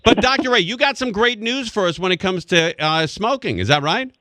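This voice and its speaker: A man in his forties hosting a radio talk show, jokey and animated as he chats with a guest. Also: sits high, fairly quick, no echo, clean studio sound. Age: 40s. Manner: jokey, animated, laughs along. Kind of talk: casual radio chat. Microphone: studio microphone.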